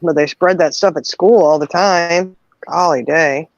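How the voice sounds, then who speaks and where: Gravelly older woman on a dull line. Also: low-pitched, measured pace, dry recording. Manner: folksy, laughing, sympathetic. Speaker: co-host. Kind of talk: casual chat.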